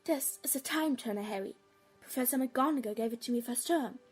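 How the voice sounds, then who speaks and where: A woman playing a clever, brisk thirteen-year-old schoolgirl witch, explaining matter-of-factly in an audio play. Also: a little high, breathy edge, faint music behind. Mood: urgent, explaining. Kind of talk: dramatic audio play acting.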